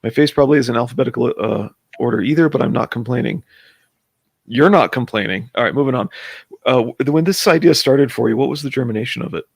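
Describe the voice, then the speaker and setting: A man's voice: mid-range voice, fast, gravelly, husky, dry recording. A man hosting a livestream, chatting casually and rambling as he breaks off to greet viewers and put questions to his guest. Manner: rambling, breaks off to read out chat messages. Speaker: host of a comics chat livestream.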